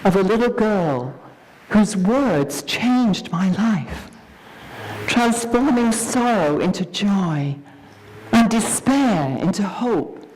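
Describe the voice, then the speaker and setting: A woman giving a dramatic, expressive reading of a scripted story, with deliberate pauses. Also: medium pitch, slight background noise, poor audio.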